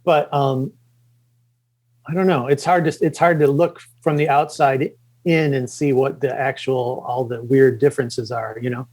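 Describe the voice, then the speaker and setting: A middle-aged man from the Chicago suburbs, a podcast guest, talking casually and haltingly with many fillers and restarts. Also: mid-range voice, steady tone, a little mellow. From Chicago suburbs, Illinois. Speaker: podcast guest, comics creator. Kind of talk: casual interview talk.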